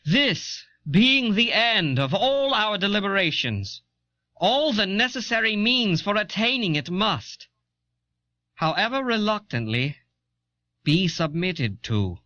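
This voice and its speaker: An actor playing an earnest 18th-century American statesman in formal, deliberate, oratorical speech for an audio documentary. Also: high-pitched, sing-song, pushed and bright, no echo, exaggerated voice. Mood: resolute. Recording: dull old audio cassette.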